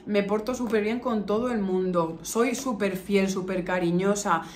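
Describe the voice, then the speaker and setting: A woman in her early thirties talks in a casual, affectionate vlog style with a Castilian accent from La Mancha. Her voice sits at a middle pitch, and she repeats words for emphasis. The room is clearly echoey, with a little background noise. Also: moderate pace, a little mellow. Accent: Castilian Spanish from La Mancha. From Albacete, La Mancha, Spain. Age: early 30s. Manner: chatty, affectionate, emphatic with repetitions. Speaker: beauty vlogger. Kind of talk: casual chat to camera, answering viewer questions.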